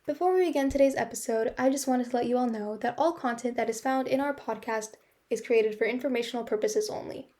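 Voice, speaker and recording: A woman reading the podcast's opening disclaimer in a brisk, formal way. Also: on the high side, warm tone. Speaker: podcast intro host.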